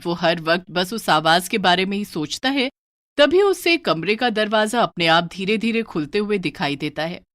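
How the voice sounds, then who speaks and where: A woman's voice: medium pitch, lively intonation, normal speed, a bit bright, no room sound, studio quality. A woman narrating a scripted horror story.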